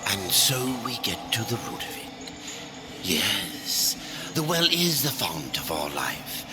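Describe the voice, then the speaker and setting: A cryptic old sage from a fantasy game, deep-voiced and solemn. He draws his words out slowly with wide swells of pitch, over clear cinematic music. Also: very animated. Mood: knowing, grave. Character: ancient, knowing, cryptic male guide, grandfatherly elder. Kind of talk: dramatic game cutscene acting.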